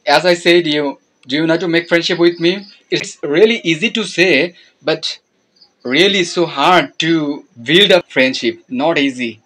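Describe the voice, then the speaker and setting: A man's voice: fairly high pitch, measured pace, a bit bright, low-quality recording. Young Bangladeshi man, Bengali native, teasing video-call chat, easy laughter.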